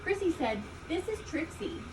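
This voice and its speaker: A woman reading a children's story aloud, expressively, with deliberate emphasis on key words. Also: extremely high pitch, very animated. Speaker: storybook reader. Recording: distant mic, loud background ambient noise.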